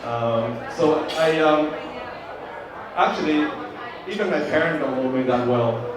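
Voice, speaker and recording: A Vietnamese-born man in his fifties, whose first language is Vietnamese, gives a halting, filler-heavy birthday speech to guests at a party. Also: medium pitch. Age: 50s. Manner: halting, many fillers and restarts. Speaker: birthday host giving a speech. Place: large room at a party. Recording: echoey party room, mic far off. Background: crowd of guests.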